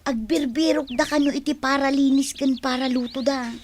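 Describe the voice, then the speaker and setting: A fairly high female voice on a noisy recording performed by an actress. She is a gossipy, needling village woman with an Ilocano accent, drawing out insinuating words in radio drama style. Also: fairly even intonation, normal speed, close to an everyday voice. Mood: gossipy, impressed. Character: gossipy, needling village woman fond of news about neighbours. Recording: noisy background with small clicks.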